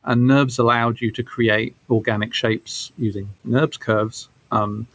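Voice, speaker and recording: A man in his forties answering interview questions casually, halting and thoughtful, as a guest game developer. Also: medium pitch, steady tone. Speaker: guest, game studio creative director.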